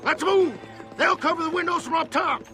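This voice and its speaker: An actor playing a fierce gunfighter in a video game, loudly shouting battle orders. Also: male voice, extremely high pitch, moderate pace, faint music behind. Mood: fierce, urgent. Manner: loud, shouting.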